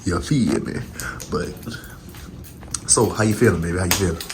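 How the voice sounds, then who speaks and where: Deep-voiced man, playful and teasing. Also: normal speed, background noise. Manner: playful, teasing, affectionate casual talk. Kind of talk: casual chat filming for the vlog.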